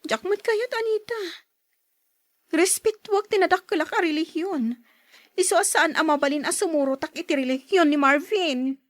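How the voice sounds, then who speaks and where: High-voiced earnest young Ilocano daughter. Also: female voice, normal speed, no echo, clean studio sound. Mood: earnest, regretful. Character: young woman in love, dutiful daughter, firm in principle. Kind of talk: Ilocano radio drama acting.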